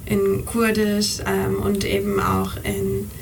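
A woman from the project recounting their shared work in a loose way, with quick whispered asides. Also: medium pitch, monotone, creaky. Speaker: project participant. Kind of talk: casual recollection. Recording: distant mic with noisy ambience.

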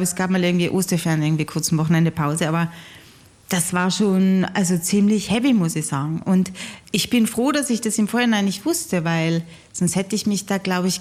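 A woman's voice: medium pitch, a little mellow. A woman in her forties with an Austrian accent, chatting as an interview guest and telling anecdotes with many fillers. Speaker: guest singer and TV presenter. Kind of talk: relaxed interview chat.

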